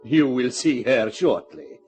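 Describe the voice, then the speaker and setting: An actor playing a smooth, formally polite but menacing gangster in a 1950s radio crime drama. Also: male voice, mid-range voice, slow pace, close to an everyday voice. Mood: polite menace. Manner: courteous, formal, quietly threatening. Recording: old radio recording, music behind.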